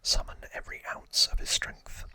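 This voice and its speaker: A man hosts ASMR in a constant breathy whisper with a bright, crisp hissing edge. He tells trivia informally, feeling his way through it from memory. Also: moderate pace. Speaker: ASMR host.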